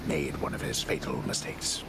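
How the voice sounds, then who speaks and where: An actor playing a solemn, wry storyteller in a video game, narrating in a gravelly, hushed voice. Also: male voice, normal speed, heavy background noise, lightly acted. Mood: wry gravity.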